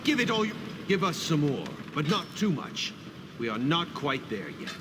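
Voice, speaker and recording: An actor playing a hearty, devout warrior smith who gives formal, emphatic encouragement in a video game. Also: male voice, fairly high pitch, sing-song, normal speed. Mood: urging. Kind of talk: video game character dialogue. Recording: clear background noise of a game scene.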